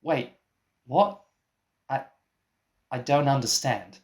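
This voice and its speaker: An exasperated male interviewer, acted by a man in a comic radio play. He speaks slowly and questions everything with dry disbelief, like someone trying to get sense out of a nonsensical guest. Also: medium pitch, animated. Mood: baffled. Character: exasperated, baffled male interviewer and film producer. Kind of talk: scripted comic mock interview.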